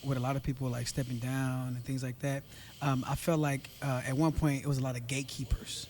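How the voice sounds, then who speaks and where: A man with a raspy voice, a guest singer talking casually in an interview, often trailing off with fillers. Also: mid-range voice, level tone, a little fast, darkish tone, slight background noise. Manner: laid-back, trails off with fillers.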